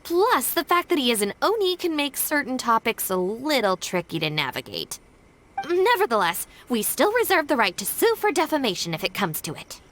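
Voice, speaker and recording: A woman playing a composed, stubborn young gang deputy in a video game, speaking in formal, measured phrases. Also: high-pitched, very animated, a bit bright, a little hiss or hum. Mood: diplomatic. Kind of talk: scripted video game voice acting.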